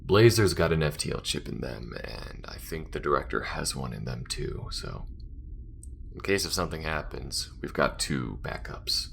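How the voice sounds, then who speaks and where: A man playing an alien smuggler in an intimate audio roleplay, tender, wry and worried, speaking in a soft, halting, breathy murmur. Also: lively intonation, slight background noise, exaggerated voice. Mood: anxious, planning. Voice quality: very deep, gravelly, breathy murmur. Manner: halting, soft-spoken, often dropping to a whisper.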